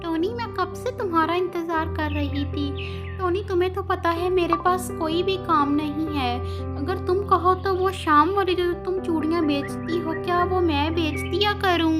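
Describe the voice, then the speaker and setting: Lady doing a greedy bossy cartoon bird, high voice, rude and scolding, music under it. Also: normal speed. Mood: sweet.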